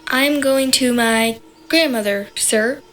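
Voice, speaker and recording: A woman's voice: a little high, measured pace, fairly bright tone, faint music behind. An actress playing a polite, innocent little girl in an audio fairy tale, sweet and careful in her courtesy. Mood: earnest, innocent.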